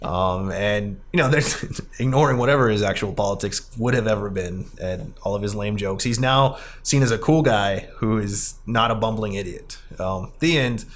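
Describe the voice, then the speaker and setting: A man's voice: fairly low pitch, normal speed, hardly any pauses, slight background noise. A man speaking as a podcast host in a casual film discussion, rambling and opinionated. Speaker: podcast host, arcade president.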